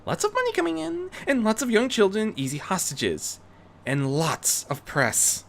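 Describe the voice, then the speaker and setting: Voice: mid-range voice, very animated, moderate pace, vocal fry, a bit bright, voice pushed up. A performer voicing a young female unicorn vigilante, refined and determined, speaking in an earnest, theatrical, articulate way for a fan fiction reading.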